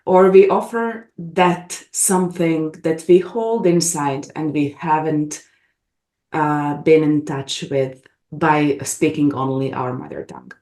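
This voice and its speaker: Low-voiced woman, echoey room. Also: steady tone, slow pace, mellow. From Bosnia and Herzegovina. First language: Bosnian and Croatian. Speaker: regression facilitator, guest. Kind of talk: casual interview chat.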